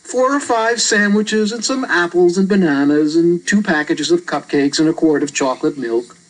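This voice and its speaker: A woman's voice: lowish voice, moderate pace, pitched below the performer's own voice, close to an everyday voice, low-quality recording. A woman voicing an easygoing, aloof young boy in a children's storybook, offhand and dismissive in manner.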